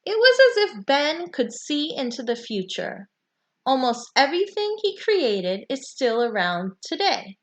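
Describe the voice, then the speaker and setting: Woman, high lilting voice with lively intonation, children's book read-aloud, deliberate emphasis.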